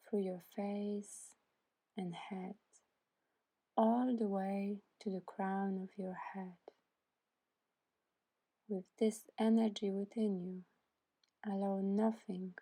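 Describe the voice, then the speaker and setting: A woman guiding a scripted meditation in a soft, breathy, soothing voice, deliberately leaving long pauses between short phrases. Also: medium pitch. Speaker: meditation guide.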